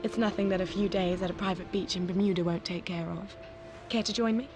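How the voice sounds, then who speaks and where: An actress playing a flirtatious, carefree, glamorous socialite in a video game cutscene, with a breezy way of talking. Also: female voice, medium pitch, steady tone, brisk, lightly acted. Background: background music.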